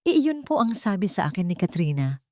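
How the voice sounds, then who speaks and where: A woman's voice: on the low side, very animated, moderate pace, mellow, no room sound, clean studio sound. A woman voicing a timid, worried young woman in a story, polite and hesitant. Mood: nervous, hesitant. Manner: hesitant, deferential.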